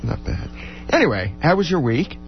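A man co-hosting a music radio show in casual, unscripted banter, halting and repeating his words as he goes. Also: on the high side, very animated, gravelly, highs cut off. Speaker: radio co-host who plays music.

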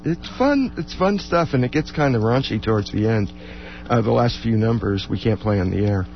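A man co-hosting a music radio show in casual, unscripted banter, halting and repeating his words as he goes. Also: lowish voice, vocal fry, dull audio. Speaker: radio co-host who plays music.

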